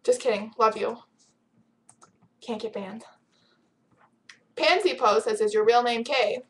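A woman's voice: fairly high pitch, a little slow, a bit bright. A teenage girl chatting casually on her webcam at home, reading out viewer questions with a giggly, teasing manner. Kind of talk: casual chat. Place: home room with bright window. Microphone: built-in webcam mic.

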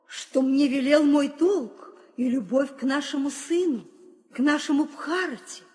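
A woman's voice: sits high, unhurried, a little mellow. An actress playing a deferential, composed younger queen with a formal, restrained manner in a theatrical audio play. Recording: reverberant 1960 audio play record.

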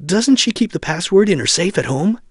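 Helpful young male friend for a game: earnest advice, very animated, dry sound. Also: high-pitched, a little fast, breathy edge, dry recording, exaggerated voice. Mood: earnest, advising. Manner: earnest, advising. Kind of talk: adventure game hint dialogue.

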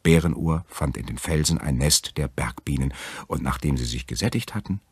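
Male fairy-tale narrator, extremely low and husky, recorded very dry. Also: expressive, normal speed, no room sound. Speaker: storyteller.

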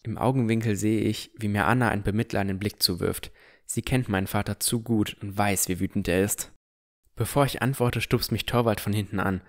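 A man's voice: fairly low pitch, steady tone, a little fast. A man narrating a fantasy audio drama in the first person from a script.

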